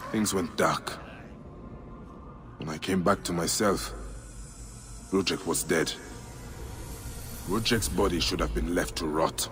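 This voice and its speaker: An actor playing a grave, vengeful, grieving Egyptian warrior in a dramatic video game. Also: male voice, deep voice.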